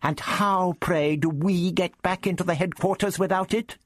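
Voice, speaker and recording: A man's voice: fairly high pitch, huge pitch swings, measured pace, breathy edge, no echo, studio quality, pitched above the performer's own voice, heavily acted. A man voicing a proud, testy old doctor in an audiobook story, with sniffy, questioning interjections. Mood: testy, icy.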